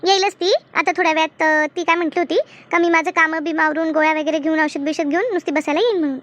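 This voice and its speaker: A woman voicing a bossy, brisk village woman who leads her friends in a comedy cartoon, emphatic and scolding. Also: very high-pitched, steady tone, a bit bright. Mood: reassuring. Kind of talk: colloquial comedy cartoon dialogue.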